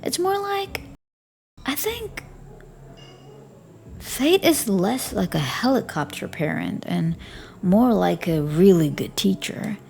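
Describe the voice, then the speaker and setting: A woman performing a scripted audio roleplay as a flirty, teasing bartender, speaking softly and often near a whisper. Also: mid-range voice, big pitch swings, slow pace, gravelly, long pauses.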